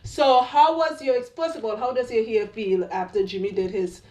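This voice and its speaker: A woman with a Trinidadian accent hosting a casual chat with a client, laughing often and chiming in with quick agreement. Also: fairly high pitch, steady tone, dark tone. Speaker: loctician and channel host.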